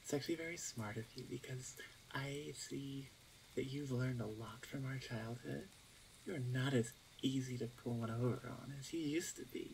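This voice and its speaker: A woman playing a teasing, smug older orc shaman sister in an ASMR roleplay, with a playful, drawn-out, self-assured delivery. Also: rumbling low, gravelly, a little mellow, slight background noise, poor audio. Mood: playful, gloating. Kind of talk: ASMR roleplay audio drama.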